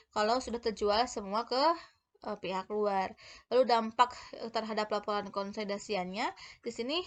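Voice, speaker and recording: A woman giving an explanatory lecture, talking informally and haltingly as she corrects herself and works through examples. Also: a little high, fairly bright tone. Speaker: accounting lecturer.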